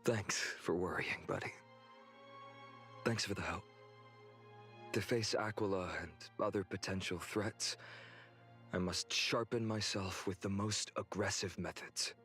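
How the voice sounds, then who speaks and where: Young male game hero, low register, earnest, measured, friendly but resolute; music underneath. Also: steady tone, a bit bright. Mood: steady, grateful. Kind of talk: English video game voice acting. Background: background game music.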